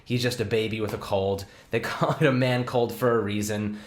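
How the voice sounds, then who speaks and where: A young man hosting a casual sports podcast, excitable and full of fillers and restarts. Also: lowish voice, normal speed. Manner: excitable, laughs often, many fillers and restarts. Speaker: podcast host. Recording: echoey room.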